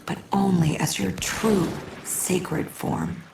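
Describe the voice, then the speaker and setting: A woman's voice: lowish voice, steady tone, slow pace, gravelly, very noisy, exaggerated voice. A woman playing a solemn mystic guide in a video game, speaking in a grave, ceremonial manner. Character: solemn female mystic guide presiding over a sacred rite. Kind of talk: scripted video game acting. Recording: echoing distant voice under music.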